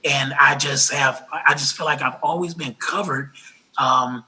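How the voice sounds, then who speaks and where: Raspy-voiced man, bright and earnest. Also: mid-range voice, fairly even intonation, low-quality recording. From Los Angeles. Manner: earnest, grateful, halting with restarts. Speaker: interview guest. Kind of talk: casual interview talk.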